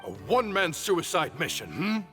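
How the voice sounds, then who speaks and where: Indignant elder scholar from a video game, wordy scolding, super animated delivery, music behind. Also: male voice, a little high, moderate pace. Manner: blustering, wordy, theatrical scolding.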